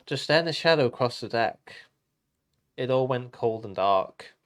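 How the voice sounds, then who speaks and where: A man with a mid-pitched voice reads a rhyming children's story. He takes it slowly and playfully, as a host entertaining young listeners. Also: animated, a bit bright. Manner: playful, deliberate delivery.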